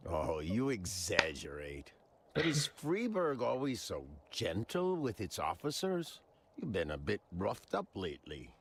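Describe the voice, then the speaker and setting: Slow, gravelly, insinuating crime boss. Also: male voice, fairly low pitch, wildly swooping pitch, a little hiss or hum, full character voice. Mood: mock-polite. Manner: leisurely, insinuating, mock-polite. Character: smooth-talking, menacing, eccentric male crime boss. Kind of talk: video game dramatic acting.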